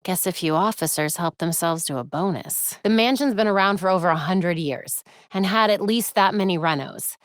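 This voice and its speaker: A woman's voice: fairly low pitch, moderate pace, few pauses, dry recording, lightly acted. A woman playing a young American vigilante detective in a video game, earnest and tech-savvy, with a dry, conversational manner. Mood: earnest, focused.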